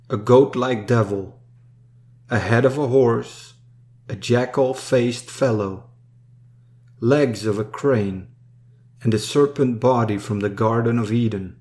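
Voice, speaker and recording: Slow-paced man reciting poetry. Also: mid-range voice, darkish tone. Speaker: poem reader. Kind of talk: solemn scripted poetry recitation.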